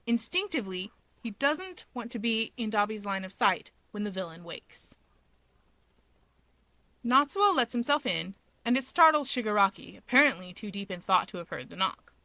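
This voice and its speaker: A woman narrates scripted fiction in a bright, forward voice at a medium pitch. The recording is dry, with no room sound around her. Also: sing-song, normal speed, no room sound. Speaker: story reader.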